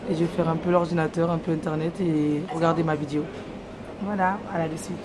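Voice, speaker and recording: Young female travel vlogger, lowish voice, chatty rambling, noisy airport recording. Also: flat delivery, fast. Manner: rambling, chatty narration of her day. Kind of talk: casual vlog chat to camera. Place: airport terminal and plane cabin, indoors.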